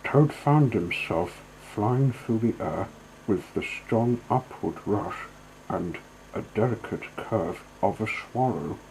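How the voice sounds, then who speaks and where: Male storyteller, scripted storybook narration, lowish pitch, very slow pace. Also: animated, creaky, a little mellow, slight background noise, poor audio.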